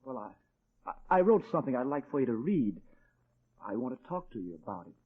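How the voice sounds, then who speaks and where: An actor playing an earnest, hesitant eighteen-year-old dreamer, pleading and stammering, in 1940s radio drama style. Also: male voice, mid-range voice, sing-song, quick pace, husky. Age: young adult. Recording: thin, tinny old-time radio recording.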